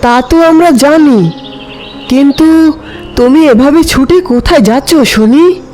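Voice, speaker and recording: A woman's voice: high-pitched, slow pace, darkish tone, soft background music. A woman voicing a friendly, concerned kite bird in a cartoon, folksy and inquisitive.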